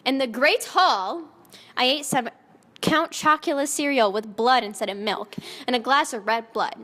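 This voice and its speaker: A woman's voice: high-pitched, normal speed, a bit bright. A woman narrating fan fiction in a mock-dramatic style, often close to giggling at the text. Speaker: narrator and reader. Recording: long echoing reverb.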